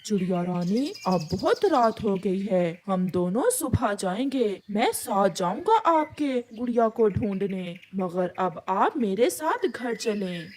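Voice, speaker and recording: A woman voicing a kind, cautious male pigeon in a cartoon, measured and reassuring. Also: medium pitch, animated, slight background noise. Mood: concerned.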